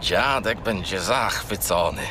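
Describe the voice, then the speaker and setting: An actor playing a naughty, chatty little furry companion who rambles and whines naively in a children's radio play. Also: male voice, low voice, slow pace, pushed and bright, a little hiss or hum, exaggerated voice. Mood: cheeky. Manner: rambling, naively evasive, whiny.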